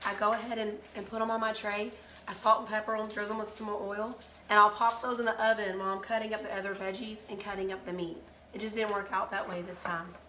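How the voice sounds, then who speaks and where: Woman host, voice hoarse from a cold, chatty step-by-step kitchen demo, quiet hum behind. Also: medium pitch. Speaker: channel host. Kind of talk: casual cooking demonstration. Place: home kitchen.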